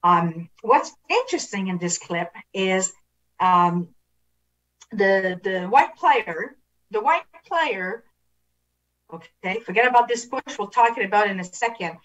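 A woman's voice: mid-range voice, animated, slow, a bit bright, distant mic, poor audio. A middle-aged woman instructing referees over a video call, analysing clips with repeated phrases and rhetorical questions. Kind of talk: video analysis coaching at an online clinic.

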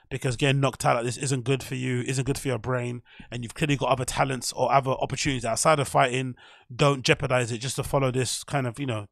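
A man talks through his theory in a loose, meandering way, often correcting himself as he goes. His voice has a gravelly edge. The sound is dry and close, with no room around it. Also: medium pitch, fairly bright tone, dry recording. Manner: rambling, self-correcting, opinionated. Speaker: podcast host. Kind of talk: casual commentary monologue.

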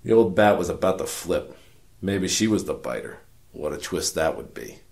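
Man playing a gravelly, low hard-boiled detective narrator. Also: normal speed. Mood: wry. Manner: wry, self-important, deadpan. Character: cynical world-weary hard-boiled male detective narrating his case. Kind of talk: noir parody radio drama narration.

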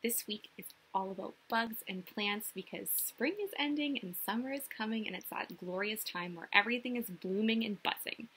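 A young woman reading a picture book aloud to small children like a teacher, expressive and sing-song, often putting questions to them. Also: mid-range voice, moderate pace, hardly any pauses, slight background noise, low-quality recording. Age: young adult. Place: small indoor room.

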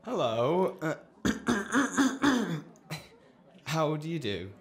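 A man's voice: a little high, sing-song, very slow, heavily acted. A man playing a polite, awkward, dry data clerk on a date in a radio play, speaking in a hesitant, stilted way. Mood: politely awkward. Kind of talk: radio play acting, conversational.

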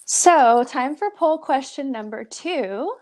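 A woman's voice: sits high, lively intonation, slow pace, a little mellow. A young woman presenting a live pet nutrition webinar over a video call, upbeat and encouraging, with lots of "so" and "awesome". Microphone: webcam on a video call.

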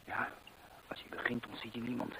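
An actor playing a hesitant, cautious stable hand in a Dutch radio drama, speaking haltingly on a noisy recording. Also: male voice, mid-range voice, monotone, in a whisper, lightly acted. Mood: wary, hushed.

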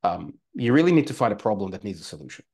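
A man's voice: on the low side, sing-song, racing, a little mellow, husky. A man in his forties with an Australian accent, talking casually as a guest on a video call, with many fillers and restarts. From former Soviet Union, raised in Australia. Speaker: guest entrepreneur. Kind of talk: casual interview talk. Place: home room by a fireplace. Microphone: video call webcam.

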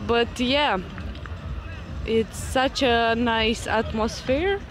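A woman's voice: fairly high pitch, drawn-out words, over music, crowd noise. Woman doing a casual travel vlog outside, takes her time talking. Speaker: travel vlogger. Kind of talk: casual vlog narration to camera. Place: outdoors, beach and lakeside woodland.

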